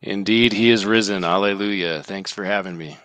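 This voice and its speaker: A man's voice: low voice, fairly quick, fairly bright tone. A man speaking as a podcast guest, giving conversational, explanatory commentary.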